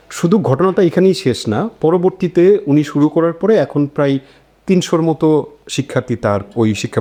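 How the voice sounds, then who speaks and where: A man's voice: on the high side, warm tone. A man hosting a conversational panel discussion, hesitating and repeating words as he forms his points. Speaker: discussion host.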